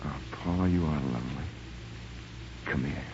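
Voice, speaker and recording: An actor playing an earnest, lovestruck young gentleman in a 1940s radio comedy, speaking haltingly. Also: rumbling low. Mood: tender. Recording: muffled, hissy 1940s radio recording.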